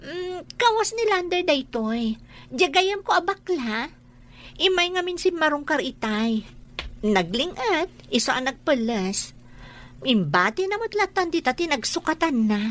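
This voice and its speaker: A woman's voice: high voice, big pitch swings, measured pace, fairly bright tone, a little hiss or hum, full character voice. An actress playing a coaxing, evasive woman sweet-talking her partner in a radio comedy drama. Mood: flustered, coaxing.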